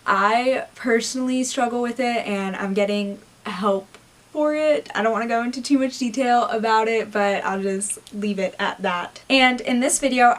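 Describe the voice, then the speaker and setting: A young woman talks in a relaxed, chatty way, her voice a little high and set back from the mic. She hosts a casual video and asks her friends questions. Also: far from the mic. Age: young adult. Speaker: host and interviewer.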